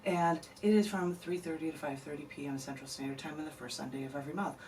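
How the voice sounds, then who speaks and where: A woman's voice: deep voice, fairly even intonation, fast talker, a little mellow. A chatty woman poet talks through a radio interview in loose, rambling sentences with frequent laughs. She sits a bit back from the studio mic, and a faint hiss runs underneath. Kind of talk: casual radio interview chat. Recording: distant mic, faint noise.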